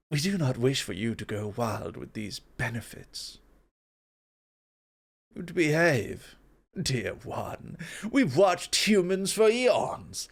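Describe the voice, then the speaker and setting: Man playing a calm, reasoning god. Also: on the high side. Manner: measured and explanatory, gently amused. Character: calm, reasoning male god, playfully mischievous. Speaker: guest voice actor. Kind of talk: ASMR roleplay.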